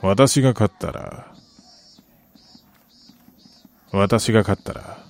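An actor playing a middle-aged, wistful gambling soccer fan in a radio drama, polite and measured with a rueful, quietly menacing edge. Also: male voice, on the low side, lightly acted. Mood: quietly challenging. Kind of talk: Japanese radio drama acting.

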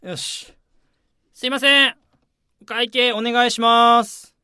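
A man's voice: extremely high pitch, fairly even intonation, slow, clean tone, dry recording, studio quality, full character voice. An actor playing a wistful grown man musing alone in a radio drama monologue. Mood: casual, chilly. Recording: loud outdoor and traffic noise.

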